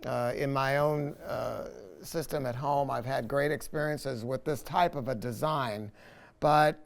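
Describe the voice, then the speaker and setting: A man's voice: medium pitch, steady tone, measured pace, vocal fry. A man hosting a casual interview chat about products. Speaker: host, audio retailer. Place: video studio.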